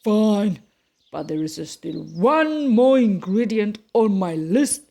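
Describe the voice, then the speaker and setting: A big, grumpy monster from a children's storybook, as a woman reader puts him on, growling along very slowly in a dark, mellow tone. He is always hungry and rather gullible, and he stretches out his words. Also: mid-range voice, lively intonation, creaky, voice pushed down, exaggerated voice. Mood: menacing, gloating. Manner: slow, drawn-out, growling delivery. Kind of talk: picture-book character voice.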